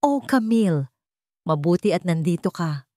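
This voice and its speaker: A woman's voice: on the low side, animated, moderate pace, mellow, dry recording, studio quality, natural voice. A woman voicing a cheerful middle-aged male landlord in a love story, jovial and exclaiming.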